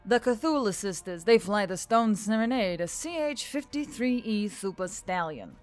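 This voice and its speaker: A grumpy female centaur from a storybook , acted by a woman narrator, grumbles disdainfully. Her voice is mid-range, with soft music underneath. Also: medium pitch, animated, moderate pace, natural voice. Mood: peeved.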